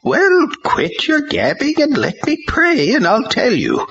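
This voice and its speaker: A man voicing an elderly praying woman who is fervent and stubborn, in a high strained put-on voice. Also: huge pitch swings, slow pace, creaky, fairly bright tone, slightly rough, full character voice. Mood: urgent. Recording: dull lo-fi recording.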